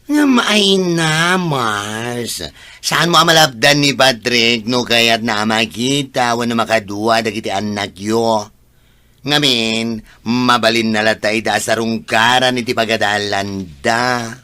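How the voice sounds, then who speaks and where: A man playing a frank, concerned friend in a radio drama, chiding in a drawn-out manner. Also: mid-range voice, pushed and bright, smooth, dry recording. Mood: surprised chiding. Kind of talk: Ilocano radio drama acting.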